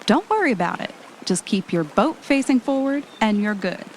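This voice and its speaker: A woman's voice: a little high, big pitch swings, moderate pace, a little hiss or hum, low-quality recording. A woman playing an anxious but adventurous podcaster on vacation in an audio drama. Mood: upbeat, coaxing.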